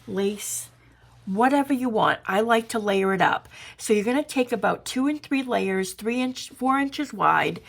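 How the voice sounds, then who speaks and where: A woman from Georgia, hoarse and raspy from pollen, chatting her way through a crafting tutorial as a channel host. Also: mid-range voice, fairly bright tone. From Georgia, USA. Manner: chatty, meandering, explaining while crafting.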